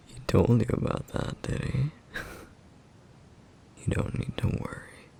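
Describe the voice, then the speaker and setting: A man voicing a stern yet caring flame demon in an ASMR roleplay, speaking in a hushed, gravelly whisper with measured, formal phrasing. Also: medium pitch, slight background noise, heavily acted. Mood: calm, amused. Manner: measured, formal, slightly old-fashioned phrasing.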